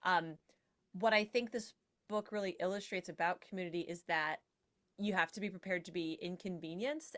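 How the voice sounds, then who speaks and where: A woman's voice: lowish voice, fairly bright tone. A woman speaking as a podcast panel guest in a casual, wry, articulate and digressive way.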